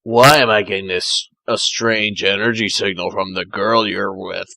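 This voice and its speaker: A man voicing a gruff, solemn, watchful male cartoon echidna, speaking haltingly and deliberately in an amateur fan fiction reading. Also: lowish voice, steady tone, pushed and bright, no echo, pitched below the performer's own voice, full character voice. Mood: questioning.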